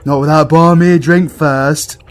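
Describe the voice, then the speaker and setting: A man's voice: fairly high pitch, fairly even intonation, measured pace, a little hiss or hum, lightly acted. A British man playing a foul-mouthed, sarcastic villain cast as a grumpy Scrooge in a comedic audio roleplay, ranting theatrically. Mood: cheeky, sarcastic.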